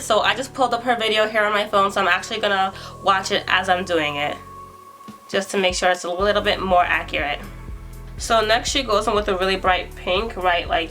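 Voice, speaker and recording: Bright-voiced chatty young woman vlogger. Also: mid-range voice. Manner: chatty and self-deprecating, thinking aloud while working. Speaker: beauty vlogger. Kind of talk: casual talk-through makeup tutorial.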